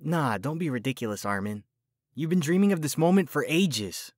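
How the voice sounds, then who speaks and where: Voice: mid-range voice, big pitch swings, moderate pace, darkish tone, no echo, studio quality. An actor as a downcast teenage boy recruit. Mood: reassuring. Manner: reassuring, subdued. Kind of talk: fan audio drama acting.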